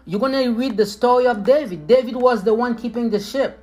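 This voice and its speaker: Haitian-accented woman, motivational podcast host, emphatic and repetitive, slightly high pitch, faint music bed. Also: fairly quick, mellow. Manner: emphatic, repeats phrases, addresses listeners as leaders. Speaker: motivational speaker.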